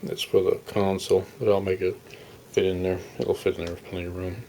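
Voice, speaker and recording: A man with a very low, gravelly voice talks through a hands-on project in a casual, unscripted way. He rambles and halts as he explains, in a folksy manner. Some faint noise sits behind his voice. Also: monotone, fairly quick, warm tone, poor audio. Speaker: hobbyist radio restorer presenting his project.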